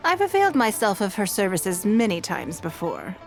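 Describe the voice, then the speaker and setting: Poised, dignified noblewoman, mid-pitched. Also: wildly swooping pitch, creaky, soft background music. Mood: composed. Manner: composed, formal, measured. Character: poised, dignified noblewoman, cool and faintly exasperated. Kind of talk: video game voice acting.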